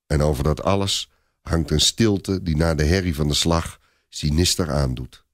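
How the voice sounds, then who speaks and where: A man with a very low voice narrates a story dramatically. The recording is dry, with no room sound. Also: normal speed, no room sound.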